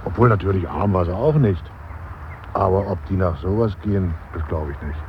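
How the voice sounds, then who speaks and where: Male mourner actor, radio drama: low, blunt, chatty and opinionated, natural delivery, muffled sound. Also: a little fast, natural voice. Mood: musing. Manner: chatty, blunt, breaking off sentences. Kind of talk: naturalistic radio play dialogue. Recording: dull 1970s radio, faint outdoor noise.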